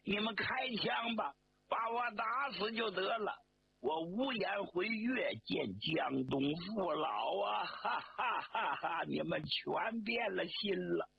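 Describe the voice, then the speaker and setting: A proud army commander, acted out by a male storyteller, emotional and theatrical. His voice rides high and swings widely through drawn-out appeals. The sound is dull and lo-fi. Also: lively intonation. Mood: wailing despair. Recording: dull lo-fi sound, faint noise.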